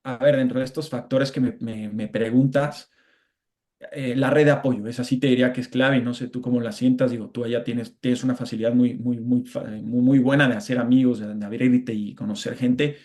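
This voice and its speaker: A man's voice: mid-range voice, steady tone, fairly quick, darkish tone. Reflective Mexican man, casual interview. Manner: reflective, frequent restarts and tag questions. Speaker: interview guest.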